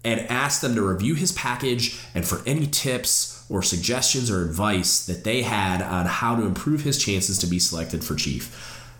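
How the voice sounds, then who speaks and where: A man's voice: lowish voice, a bit bright, echoey room. A man hosting a podcast, sounding nasal and stuffed up from a cold, earnest and encouraging as he talks. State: stuffed up and congested. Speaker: podcast host. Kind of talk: solo mentoring podcast talk.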